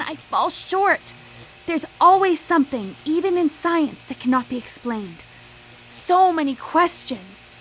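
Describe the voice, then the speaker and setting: A woman's voice: high-pitched, fairly bright tone, slight background noise, rough recording. An actress playing an obsessive, ruthless female scientist in a theatrical, formal manner for a live radio drama. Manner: grandiose, theatrical, formal speech.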